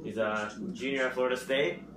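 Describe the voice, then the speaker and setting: A man asking interview questions in a plain, brisk way. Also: medium pitch, steady tone. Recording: echoey room with background hiss.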